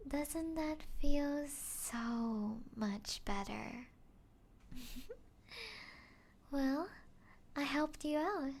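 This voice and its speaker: A woman playing a taunting, playful female vampire in an ASMR roleplay, teasing the listener and often slipping into breathy whispers. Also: sits high, a little mellow, a little hiss or hum, heavily acted. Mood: smug, coaxing.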